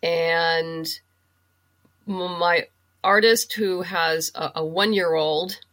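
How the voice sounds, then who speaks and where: A woman speaking as a podcast guest in casual interview chat, halting as she thinks aloud. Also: on the low side, steady tone, fairly bright tone. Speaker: podcast guest, comic writer and audio drama producer.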